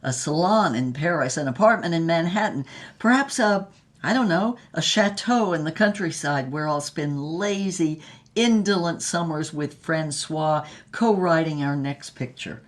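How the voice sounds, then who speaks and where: Audio drama lead: a languid, self-dramatizing movie star, deep for a woman, very slow and theatrical delivery. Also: lively intonation. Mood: indulgent, playful. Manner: grandiose, languid, theatrical delivery with drawn-out words. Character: Mynx Devlin, grandiose theatrical female film star reminiscing. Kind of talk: dramatic audio fiction monologue.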